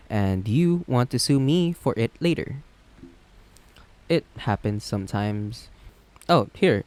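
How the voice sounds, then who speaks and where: A man acts out a villain out on a blind date, more awkward than menacing. He talks conversationally at a middling male pitch, stammering and earnest, with a careful, legal-minded streak. It plays as romantic comedy roleplay audio. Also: darkish tone, natural voice.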